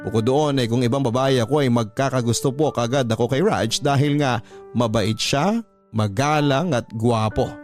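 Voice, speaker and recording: A man reads a listener's confession letter aloud in the first person, as in classic radio drama. His voice is mid-pitched and confiding. Soft music sits faintly behind him. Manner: confiding, storytelling. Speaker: narrator reading a listener's letter. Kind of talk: scripted radio narration.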